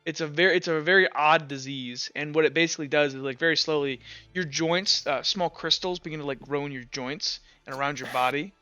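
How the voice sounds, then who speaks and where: Man, game master, clear bright voice, loose improvised narration, dry slightly dull recording. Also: fairly high pitch, a little fast, soft background music. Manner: casual, loose, searching for words.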